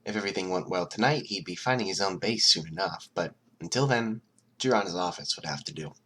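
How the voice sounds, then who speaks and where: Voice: deep voice, fairly quick, bright, forceful tone, a little hiss or hum. An amateur audiobook narrator reading fiction expressively.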